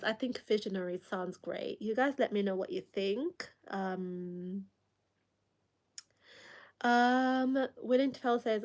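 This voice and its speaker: A woman's voice: medium pitch, a little mellow. A young woman with a Kenyan accent who hosts a late-night livestream. She keeps up a casual chat, laughs often, reads viewer comments aloud, and coughs now and then from a lingering cold. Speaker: livestream host.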